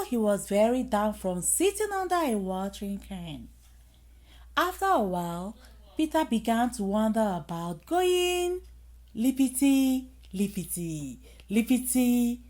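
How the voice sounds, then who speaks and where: Female storyteller, high-pitched, slow and unhurried, expressive children's bedtime reading. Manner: expressive, dramatic emphasis with acted sound effects.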